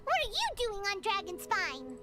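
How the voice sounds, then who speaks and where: A woman voicing a tiny, childlike, excitable fairy companion in an anime video game, with a squeaky voice and exclamatory delivery. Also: normal speed, heavily acted. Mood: indignant. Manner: refers to self in third person, exclamatory. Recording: game dialogue under loud background music.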